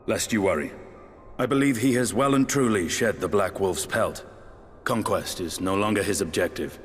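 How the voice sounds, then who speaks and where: An actor playing an aloof, gruff lancer in a fantasy game cutscene, speaking tersely in formal, archaic phrasing. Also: male voice, fairly low pitch, moderate pace, close to an everyday voice. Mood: grave, matter-of-fact. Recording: long reverb, music behind.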